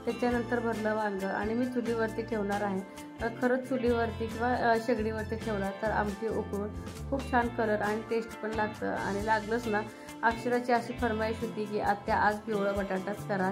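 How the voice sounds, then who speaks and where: A woman's voice: medium pitch, little pitch movement, normal speed, over music. A woman with a rural Maharashtrian Marathi accent, chatting in a homely, colloquial way as she narrates a family vlog. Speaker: family vlogger. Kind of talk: casual home vlog, chatty cooking narration.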